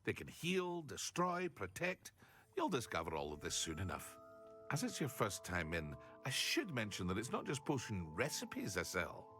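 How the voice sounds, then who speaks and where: A man's voice: fairly low pitch, wildly swooping pitch, moderate pace, fairly bright tone, soft background music. A man voicing a chatty, enthusiastic potion shopkeeper in a video game, with a British accent and a theatrical way of savouring his words. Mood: eager, inviting.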